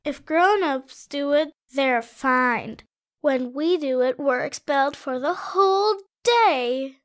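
A tearful, earnest little schoolboy from a storybook. His voice sits very high and sounds quite unlike an adult's, and he pleads eagerly and sincerely. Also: animated, slow pace, fairly bright tone, exaggerated voice. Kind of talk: storybook read-aloud.

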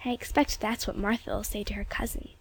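A woman's voice: mid-range voice, fairly even intonation, brisk, breathy edge, no room sound. A woman voicing a polite, dreamy, chatty little English girl with a British accent and a prim manner.

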